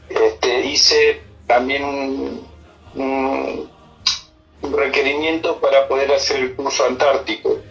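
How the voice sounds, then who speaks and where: A middle-aged man with an Argentine accent answers interview questions informally, recalling his life in a halting way with repeated words. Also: mid-range voice, fairly bright tone. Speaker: army senior non-commissioned officer being interviewed. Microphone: telephone. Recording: phone call interview with music.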